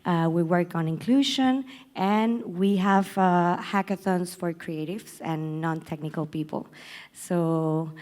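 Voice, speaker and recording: A woman on a conference panel, introducing her work haltingly with many fillers. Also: on the low side, a little mellow. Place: conference hall.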